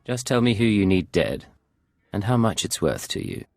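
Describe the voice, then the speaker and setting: An actor playing a cool secret agent in a video game, terse and controlled. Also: male voice, fairly low pitch, sing-song, normal speed, vocal fry, faint music behind, exaggerated voice. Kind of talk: video game character dialogue.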